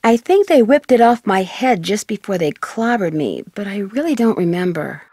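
Young female sleuth, video game dialogue, earnest, composed, articulate, medium pitch, dry studio sound. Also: very animated, moderate pace, darkish tone, husky, no echo. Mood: reasoning. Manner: matter-of-fact, articulate.

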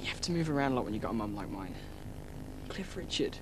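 A man's voice: medium pitch, animated, quick pace, lightly acted. A young male actor playing a cheeky, boastful teenage boy who spins tall tales and schemes to start a band, in a 1990s children's TV drama. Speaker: band member. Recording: noisy old VHS tape.

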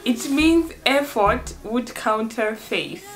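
Young woman vlog host, bright higher voice, playful, bedroom recording. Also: expressive, slow, soft background music. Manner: playful, laughs often, teaching Swahili phrases. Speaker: vlogger host. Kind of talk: casual chat. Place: bedroom, indoors.

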